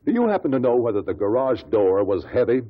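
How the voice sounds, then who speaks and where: An American man playing a courteous, probing investigator in a 1950s radio drama, questioning formally and pointedly with a husky voice. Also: medium pitch, very animated, brisk. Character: probing, courteous male amateur investigator at an inquest. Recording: dull lo-fi 1950s radio recording.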